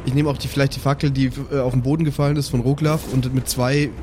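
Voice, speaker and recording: A man plays a tabletop game in casual, improvised talk. He speaks haltingly at a middle pitch, thinking aloud, with clear noise in the background. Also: level tone, a little mellow.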